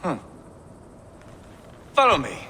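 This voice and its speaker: An actor playing a brash, bloodthirsty Viking warrior in a game, sneering and crude with foul-mouthed swagger. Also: male voice, slow pace, sharp tone, a little hiss or hum. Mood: gleeful, mocking.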